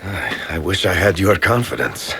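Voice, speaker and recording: An actor playing a roguish, guilt-ridden fantasy adventurer in a video game, wry and emphatic. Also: male voice, low-pitched, sing-song, unhurried, creaky, fairly bright tone, slight background noise, exaggerated voice. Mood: worried. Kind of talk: video game dialogue acting.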